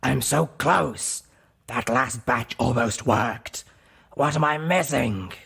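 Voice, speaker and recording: Slow, raspy, cracked ghoul doctor. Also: male voice, mid-range voice, a bit bright, voice pushed up, heavily acted. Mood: agitated muttering. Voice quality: raspy, gravelly. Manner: slow, muttering, rambling to himself. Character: cracked undead ghoul pony doctor, obsessive, muttering, deluded. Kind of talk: audiobook character voice.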